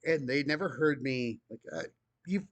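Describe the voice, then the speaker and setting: A man game master chatting casually, speaking calmly and evenly. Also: mid-range voice, moderate pace, no room sound. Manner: calm, even-tempered talker. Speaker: game master, video creator. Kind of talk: casual podcast chat.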